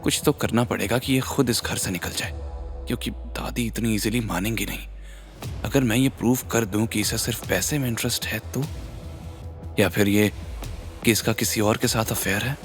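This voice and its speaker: A man voicing a proud, hot-tempered young businesswoman in an audio drama, indignant and sharp-tongued. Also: on the low side, normal speed, faint music behind, lightly acted. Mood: scheming mutter. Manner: indignant, sharp-tongued, mixes English into Hindi. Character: fiery, status-proud young businesswoman, scolding her husband.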